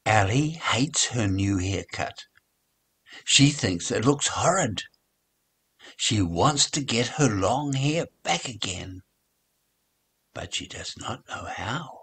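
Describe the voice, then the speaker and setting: An older man reading a children's story aloud, with expressive, deliberate phrasing. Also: fairly low pitch, slightly rough. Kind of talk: children's read-along story narration.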